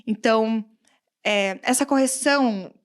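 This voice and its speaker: A Brazilian woman with a countryside accent, speaking as a guest on a relaxed podcast. Her voice sits a little high, and she talks in an animated way, laughing often and acting out the comments she quotes. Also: unhurried. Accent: Brazilian Portuguese, countryside. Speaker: guest, English teacher. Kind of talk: casual podcast conversation.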